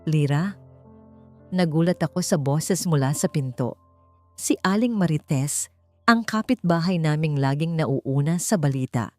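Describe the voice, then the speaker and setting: A woman narrating a dramatic first-person audiobook story in an emotive, deliberate manner. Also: low voice, animated, no echo, soft background music.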